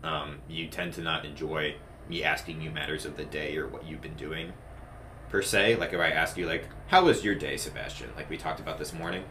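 A young man with a very low, gravelly voice talks in a flat, deadpan monotone, yet his tone has a bright, forceful edge. He chats casually and carefully, with a faint ambient hiss behind him. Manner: measured, hesitant, dry. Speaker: podcast co-host. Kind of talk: casual chat.

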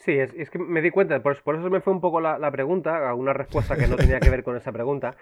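A man's voice: on the high side, quick pace. A man from the Canary Islands with a hybrid Canarian and peninsular Spanish accent, chatting casually and colloquially as a podcast guest through a thin, phone-like connection. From Canary Islands, Spain. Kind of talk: casual chat, colloquial slang. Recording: thin phone-like band-limited sound.

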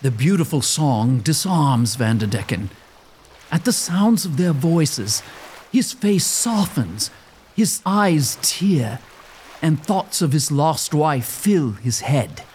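A man's voice: a little high, expressive, takes it slowly, darkish tone, slight background noise. A man narrating a gothic audio drama as a scripted story, in a theatrical, suspenseful manner.

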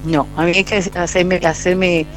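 A woman's voice: on the low side, little pitch movement, measured pace, soft background music. Giggly Colombian woman guest. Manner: giggly, laughs often, warm replies. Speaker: singer guest. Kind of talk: radio interview answers.

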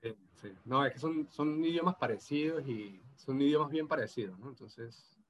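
A man's voice: medium pitch, normal speed, highs cut off, slight background noise. A man in his thirties from Venezuela with an Andean gocho accent, a guest talking casually and hesitantly, with many restarts. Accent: Venezuelan Andean (gocho) Spanish. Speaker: interview guest. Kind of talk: casual video interview.

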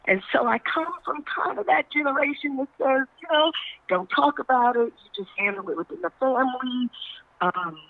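A woman's voice: high voice, animated, moderate pace, no room sound. Earnest woman on a phone-like line. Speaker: stage actress, panel guest. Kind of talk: conversational interview testimony. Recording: muffled phone-like radio line.

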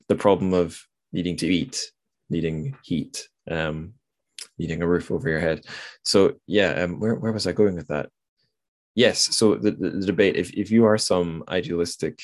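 A man hosting a podcast, talking casually about literature in a rambling, musing way as he thinks aloud. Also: deep voice. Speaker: podcast host.

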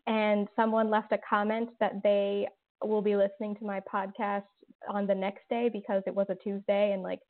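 A woman talking as an interview guest, circling her answers with plenty of fillers and restarts. Her voice sits at an ordinary middle pitch. It comes through a narrow, tinny phone-like line. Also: flat delivery, moderate pace. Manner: rambling, many fillers and restarts. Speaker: podcast creator and guest.

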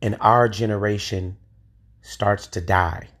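A man's voice: lowish voice, fairly even intonation, takes it slowly, fairly bright tone. A man in his forties hosting a solo podcast, talking in an emphatic, opinionated way with occasional swearing. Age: 40s. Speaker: podcast host.

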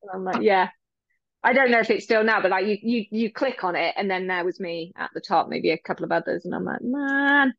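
A woman who works as a ring announcer, chatting in an interview, bubbly and quick to laugh, with a loud voice. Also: medium pitch, no room sound, highs cut off. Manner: bubbly, laughs often, animated retelling. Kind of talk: casual interview chat.

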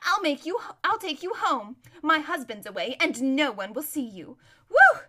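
Storybook role: giggly, vain young society lady, played by a woman reader. High, bright, loud, gushing drawl. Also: very animated, normal speed, exaggerated voice. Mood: breezy, gossipy.

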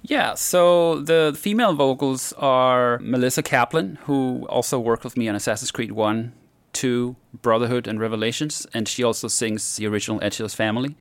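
A man, a composer, giving relaxed, detailed answers in an interview, with a deep voice. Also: normal speed, dry recording.

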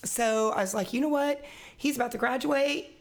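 A woman, a realtor chatting as a podcast guest in a quick, laughing way. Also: high-pitched. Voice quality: raspy voice. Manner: quick, laughing, self-deprecating talk. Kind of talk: casual interview chat.

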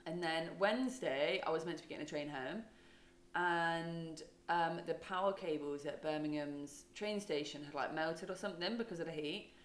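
A woman's voice: low-pitched, moderate pace, reverberant. A podcast co-host, a woman, chatting casually, laughing often and telling rambling stories. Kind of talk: casual chat.

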